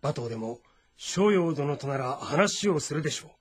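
An actor playing an astute court strategist, husky, polite and reasoned, in a historical game drama. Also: male voice, a little high, lively intonation, normal speed. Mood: measured counsel. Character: astute, courteous male strategist advising his lord.

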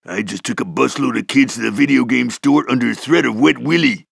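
An actor with an American accent playing a laid-back stoner metalhead school bus driver in a cartoon video game, with a raspy slacker drawl. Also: male voice, medium pitch, dry recording, clean studio sound. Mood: mellow, spaced-out. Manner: spaced-out slacker slang, drawn-out words.

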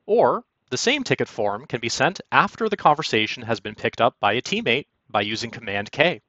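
A man presenting a software walkthrough as polished, instructional scripted narration. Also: mid-range voice, very animated, fairly quick, no echo, muffled recording. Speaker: product demo presenter. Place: quiet, dry room, recorded as voice-over.

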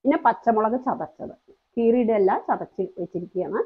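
A woman's voice: mid-range voice, moderate pace, husky, phone-quality sound. A woman hosting a home cooking channel, talking through each step in a chatty, explaining way. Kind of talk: cooking demonstration voice-over.

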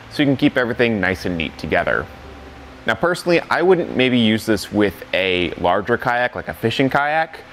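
A man's voice: fairly low pitch, a bit bright, a little hiss or hum. A young man plainly walking through a product demo as reviewer, candid about its weak points, in an indoor studio bay. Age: young adult. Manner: plain-spoken, candid, walks through details.

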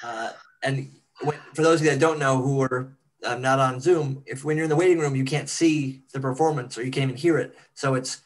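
A man's voice: medium pitch, steady tone, brisk, a little hiss or hum, rough recording. A man chatting casually on a panel as a cast member, with wry, clipped asides. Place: indoors on a video call. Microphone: computer mic on video call.

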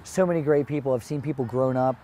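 Mellow man, casual market manager. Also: mid-range voice, fast talker, a little hiss or hum. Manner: casual, lots of "you know". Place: outdoor farmers market.

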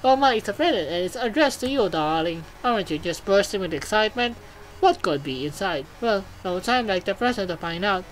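A woman's voice: mid-range voice, animated, fairly quick, natural voice, rough recording. A woman voicing a vain, gushing showbiz robot who presents TV news in a video game, with theatrical announcer phrasing. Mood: excited, showy.

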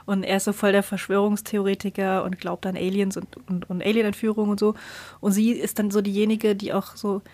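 A woman's voice: mid-range voice, level tone, brisk, darkish tone, dry recording. Female podcast co-host, mid-range voice, colloquial storytelling chat, very dry close recording. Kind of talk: casual chat.

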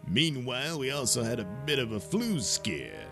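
A man's voice: mid-range voice, wildly swooping pitch, normal speed, creaky, over music. A man playing a bumbling, crude spaceship captain in a comic sci-fi drama, rambling in a hesitant, folksy way.

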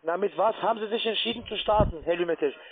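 High-voiced man with foreign accent on phone. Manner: halting, ungrammatical speech. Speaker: call centre account manager, scam caller. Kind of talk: phone sales pitch. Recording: band-limited, tinny phone line.

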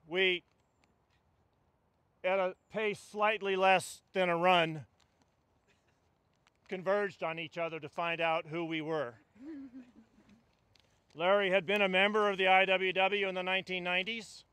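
A man's voice: high-pitched, steady tone, measured pace, long pauses, bright, forceful tone, clear, resonant tone, dry recording. An older man, a union delegate, giving a funeral eulogy outdoors at a stand mic, with a wry storytelling delivery. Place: outdoors at a funeral gathering among trees.

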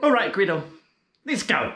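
A man's voice: high-pitched, big pitch swings, normal speed, sharp tone, full character voice. A young man playing an eager, naive, easily spooked little boy in a children's fairy tale, with a theatrical, wide-eyed manner. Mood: nervous. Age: young adult. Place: living room. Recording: echoey indoor room.

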